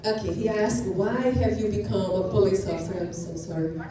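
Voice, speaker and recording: A woman's voice: medium pitch, brisk. Woman, middle-aged, from Brazil, police officer addressing teens, explanatory, distant roomy noisy recording. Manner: explanatory, frequent restarts and fillers. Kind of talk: informal talk to students. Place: school classroom or gym. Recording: echoey room, distant and noisy.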